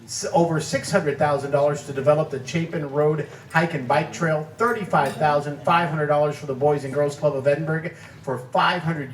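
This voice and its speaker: A man presenting an award, reading a prepared speech full of facts and figures in a measured way. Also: a little high, a little hiss or hum. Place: banquet hall. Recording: echoey hall, distant mic.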